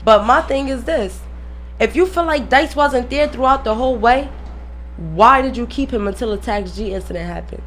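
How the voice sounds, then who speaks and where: A woman's voice: fairly high pitch, moderate pace, fairly bright tone. A woman speaking as a guest in a casual radio interview, emphatic and slangy, often repeating her phrases.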